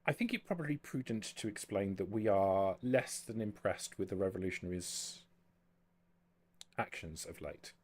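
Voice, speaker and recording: A man voicing a courteous, guarded female vampire envoy in a tabletop game, speaking formally and diplomatically in measured phrases. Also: deep voice, animated, slightly rough. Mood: solemn.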